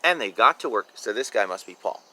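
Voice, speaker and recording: A man's voice: lowish voice, animated, a little fast, pushed and bright. A man reading a picture book aloud expressively, with informal asides about the illustrations. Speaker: reader of a children's picture book.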